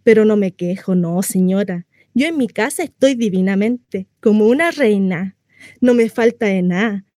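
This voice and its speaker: A woman's voice: medium pitch, normal speed, warm tone, dry recording, clean studio sound, natural voice. A woman playing a lonely, naive housewife in a theatrical radio monologue, gossipy, rambling and coyly confiding in a neighbor. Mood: chatty, boastful. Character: chatty lonely housewife, naive, insistently cheerful about her confinement.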